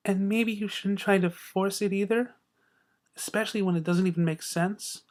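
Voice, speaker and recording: A man voicing a timid, gentle young female pegasus, hushed and hesitant. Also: sits high, fairly even intonation, normal speed, natural voice.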